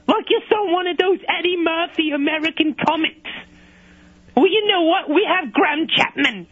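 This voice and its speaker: A man voicing a brash, crude London woman calling a radio show, loud and insulting in a high falsetto. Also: normal speed. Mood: cheeky. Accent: put-on London. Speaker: prank caller. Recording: dull radio broadcast.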